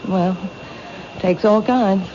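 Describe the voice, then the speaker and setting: An actress playing a reserved, polite bookshop owner in an old-time radio drama. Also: female voice, medium pitch, extremely slow, dark tone. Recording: noisy lo-fi old radio recording.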